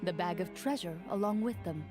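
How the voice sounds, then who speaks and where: A woman voicing a poised game master who narrates a courtroom scene in a measured, formal, dryly stern way, in a video game. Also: lowish voice, natural voice. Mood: narrating. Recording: game audio with clear music underneath.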